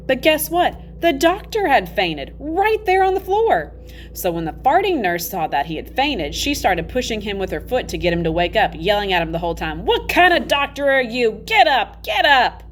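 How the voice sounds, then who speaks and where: A woman with a slightly low voice reads a children's story aloud. Her delivery is expressive and emphatic, made for young listeners. There is audible background noise behind her. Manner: expressive, emphatic, acts out dialogue. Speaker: teacher reading a children's novel aloud. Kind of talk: animated storybook read-aloud.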